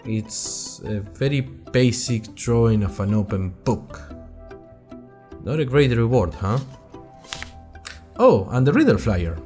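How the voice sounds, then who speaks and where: A man's voice: mid-range voice, sing-song, takes it slowly, long pauses, darkish tone, soft background music. A man playing an earnest young college student who tells his story in a wry, conversational way, as host of an audio drama diary. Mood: musing. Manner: conversational, wry, addresses listeners directly. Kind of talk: scripted first-person audio drama narration.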